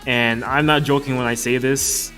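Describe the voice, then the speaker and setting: A man's voice: mid-range voice, fairly even intonation, moderate pace, a bit bright. Male reviewer, casual and opinionated, slangy conversational commentary, music underneath. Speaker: video reviewer. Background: background music.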